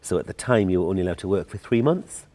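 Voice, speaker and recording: Deep Geordie man telling stories. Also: animated, quick pace, dark tone. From Newcastle, England. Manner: chatty storytelling with frequent restarts and laughs. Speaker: guest choreographer. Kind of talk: casual interview chat.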